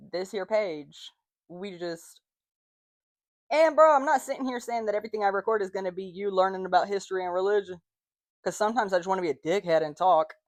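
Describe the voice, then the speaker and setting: A woman's voice: fairly low pitch, no echo, studio quality. Profane, chatty woman in her twenties. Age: 20s. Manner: candid and profane, slangy, lots of "you know what I mean". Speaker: podcast host. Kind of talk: casual solo podcast monologue.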